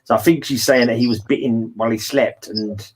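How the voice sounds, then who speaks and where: A man's voice: medium pitch, fairly even intonation, brisk, breathy edge, low-quality recording. A man in a casual book discussion, thinking aloud and correcting himself as he goes. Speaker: podcast host.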